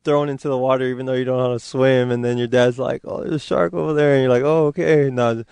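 A man's voice: mid-range voice, fast talker, creaky, clean tone, no room sound. A man in his twenties from Hawaii, whose first language was Hawaiian, talking as a guest in a casual, easygoing interview. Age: 20s. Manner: relaxed, easygoing, many fillers. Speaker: football player guest.

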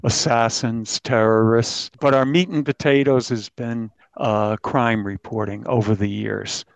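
A middle-aged or older man, a crime reporter, answering interview questions in a measured, halting way. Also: mid-range voice, steady tone, creaky, no echo. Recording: slightly dull, dry sound.

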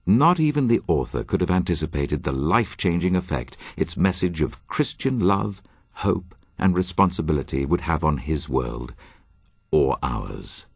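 A man's voice: a bass voice, lively intonation, normal speed, vocal fry, husky, no room sound, studio quality. A man introducing a radio drama with scripted narration in a solemn, dramatic storytelling manner.

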